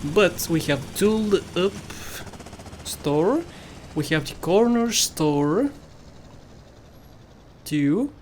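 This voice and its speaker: Chatty Bulgarian-accented man, high voice. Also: animated, extremely slow, pauses often, a little hiss or hum. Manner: chatty, laughs often, playful self-mocking. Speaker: gaming YouTuber. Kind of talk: casual gameplay commentary.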